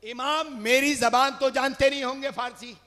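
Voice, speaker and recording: High-voiced man preaching in a reverberant hall. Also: fairly even intonation, moderate pace. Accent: Urdu. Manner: rises to shouts and sung phrases, calls on the audience. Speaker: majlis orator. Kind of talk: Urdu majlis sermon with chanted delivery. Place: large religious gathering hall.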